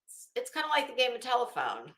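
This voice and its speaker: A woman's voice: on the high side, wildly swooping pitch, fast, a bit bright. An American woman hosting a livestream, chatting casually with viewers in a blunt, emphatic, chatty way. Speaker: livestream host. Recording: echoey, roomy sound.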